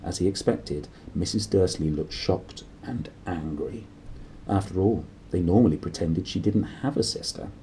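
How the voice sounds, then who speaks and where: A man narrating a children's storybook aloud in an expressive style. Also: low-pitched, normal speed, slight background noise, low-quality recording. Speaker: storybook reader.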